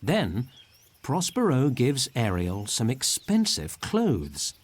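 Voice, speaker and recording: A man's voice: mid-range voice, huge pitch swings, slow pace. A man narrating a read-along story for beginners.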